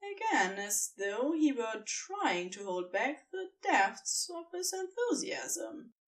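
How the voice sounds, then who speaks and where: A woman narrating scripted fan fiction as an expressive storyteller, recorded slightly away from the microphone. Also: sits high, slow, recorded from a distance.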